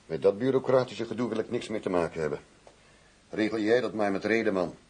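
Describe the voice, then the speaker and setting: A hard-bitten undercover commissioner in a radio drama. His voice sits low with a husky grain. He talks tersely, with dry cynicism. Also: fairly quick, darkish tone. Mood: terse, cynical. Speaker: actor in a radio play. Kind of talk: Dutch radio drama dialogue.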